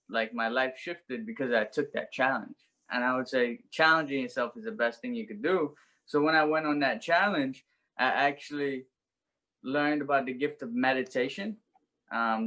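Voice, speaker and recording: A man's voice: mid-range voice, a bit bright, rough recording. A young man, telling his life story as a podcast guest in an earnest, reflective way. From Brooklyn, New York. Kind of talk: personal story in an interview.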